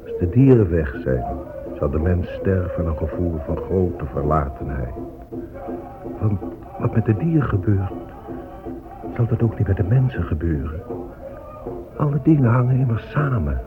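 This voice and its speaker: Deep-voiced, dignified Native elder. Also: male voice, big pitch swings, normal speed, long pauses. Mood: bitter sorrow. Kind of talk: solemn radio drama oration. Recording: muffled phone-like old radio recording. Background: music.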